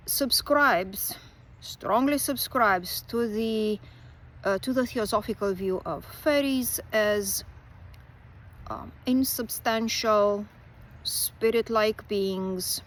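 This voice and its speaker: A woman from Romania, a folklore writer and teacher talking through a reflective personal monologue with many pauses. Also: mid-range voice, a bit bright.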